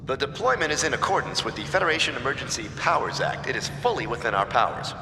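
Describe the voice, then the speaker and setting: An actor playing an officious, self-assured government politician in a video game, formal and assertive. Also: male voice, medium pitch, sing-song, quick pace, pushed and bright, soft background music. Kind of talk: video game cutscene debate. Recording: echoing hall.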